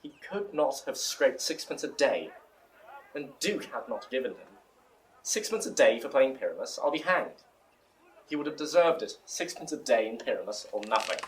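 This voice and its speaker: A man's voice: medium pitch, very animated, moderate pace, lightly acted, rough recording. A man playing a plain, fussy, lamenting craftsman from an amateur acting troupe, speaking earnestly in a Shakespearean audio drama. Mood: dismayed. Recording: faint background ambience noise.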